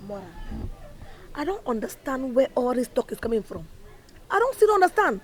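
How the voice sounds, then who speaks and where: An actress playing a headstrong young woman who is quick to pick a fight. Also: slightly rough, full character voice. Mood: puzzled. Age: young adult. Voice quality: high, animated Nigerian Pidgin-tinged speech.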